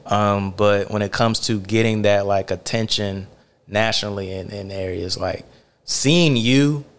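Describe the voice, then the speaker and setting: A man's voice: on the low side, unhurried, clean tone. A man hosting a casual interview, easygoing and affirming, with many fillers. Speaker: interview host.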